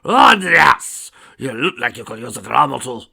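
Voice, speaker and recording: A man's voice: medium pitch, sing-song, normal speed, creaky, pushed and bright, slightly rough, full character voice. A man voicing a hearty older pub owner with a Scottish accent in a story. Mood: hearty, welcoming.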